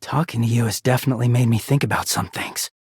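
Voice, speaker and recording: A man voicing a wounded, conflicted living garment in a video game, husky and emotional, confiding with some swearing. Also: mid-range voice, fairly even intonation, quick pace, no echo, studio quality. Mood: wistful, hurt.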